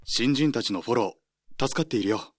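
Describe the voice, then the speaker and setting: An actor playing a weary, gentle married office manager in a radio drama, soft-spoken and hesitant. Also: male voice, medium pitch, expressive, normal speed, natural voice. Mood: tired, grateful. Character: weary married male department manager, gentle, apologetic, secretly in love. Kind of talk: Japanese radio drama dialogue and inner monologue.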